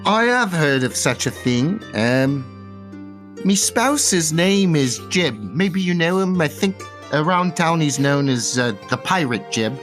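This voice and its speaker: A man's voice: fairly high pitch, lively intonation, slow pace, over music, pitched above the performer's own voice. A man voicing a flustered, chatty woman who runs a theater and is married to a pirate, speaking folksy British-style and hesitating a lot. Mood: helpful. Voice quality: folksy British-style speech, says "me husband" and "loves". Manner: hesitant, full of fillers.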